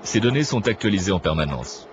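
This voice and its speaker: A man reading a scripted documentary narration in a measured, didactic manner. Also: fairly low pitch, very animated, muffled recording, background noise. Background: clear background music.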